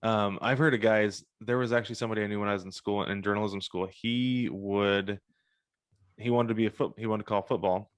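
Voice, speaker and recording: A man with a fairly low voice speaks as a podcast interviewer. His delivery is casual and chatty, and the sound is close and free of any room echo. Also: fairly even intonation, brisk.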